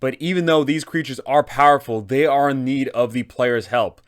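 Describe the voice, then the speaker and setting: A man's voice: mid-range voice, normal speed, smooth. A man hosting a tabletop game guide, narrating advice for game masters in a casual, emphatic way. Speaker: host, game master advising other game masters.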